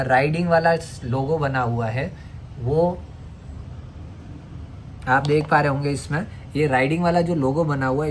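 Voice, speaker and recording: A man from India presenting a hands-on tutorial, explaining and repeating each step in an instructional way. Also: a little high. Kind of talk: hands-on step-by-step product demonstration. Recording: clear background noise.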